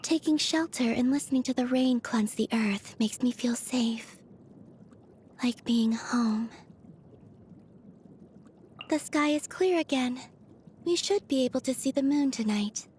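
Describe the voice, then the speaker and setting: A woman voicing a serene, gently curious young moon goddess in a video game, soft, airy and unhurried, with a dreamy, naive manner. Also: high-pitched, slight background noise. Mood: serene, gentle. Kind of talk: video game character voice lines.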